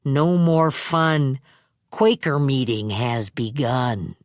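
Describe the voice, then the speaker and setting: A woman voicing a crude, cruel male henchman who taunts in a slow drawl. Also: extremely low pitch, fairly even intonation, no room sound, clean studio sound, voice pushed down. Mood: menacing drawl.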